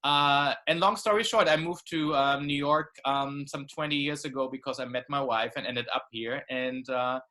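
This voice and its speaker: A middle-aged man from Germany talking conversationally as co-host on a video call, piecing his sentences together haltingly. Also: medium pitch, bright, forceful tone. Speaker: co-host, author. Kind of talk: conversational talk in an online event.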